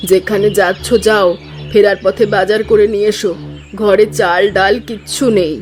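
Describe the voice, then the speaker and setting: A woman's voice: medium pitch, level tone, moderate pace, natural voice. A woman voicing an anxious old village mother. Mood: fretful. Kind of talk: cartoon voice acting.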